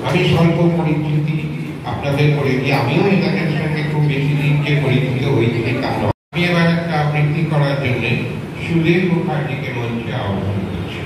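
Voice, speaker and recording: A man's voice: a little high, fairly quick. A man announcing from the stage at a ceremony. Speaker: event announcer. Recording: very echoey hall, distant, noisy.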